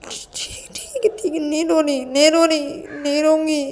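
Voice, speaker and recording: महिला की आवाज़ में ऊँचे सुर में गिड़गिड़ाती रुआँसी युवती. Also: female voice, sits high, level tone, moderate pace, darkish tone, a little hiss or hum, voice pushed up, lightly acted. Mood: whiny, protesting. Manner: whiny, repetitive begging. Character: tearful, pleading young woman, childishly insistent and apologetic.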